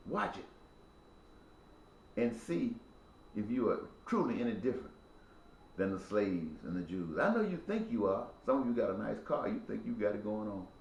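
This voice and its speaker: Man in his sixties, low-pitched, preachy and emphatic, echoey room with faint hum. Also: a little mellow, lo-fi sound. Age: 60s. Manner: preachy, repetitive, emphatic cadence. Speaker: speaker addressing viewers. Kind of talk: impassioned spoken monologue.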